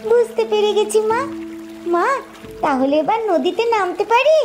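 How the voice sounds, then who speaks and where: Casting: actress doing a bright-voiced little duckling girl, curious and keen, for a cartoon. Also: very high-pitched, lively intonation, normal speed, music in the background, voice pushed up. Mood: eager. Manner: curious, eager.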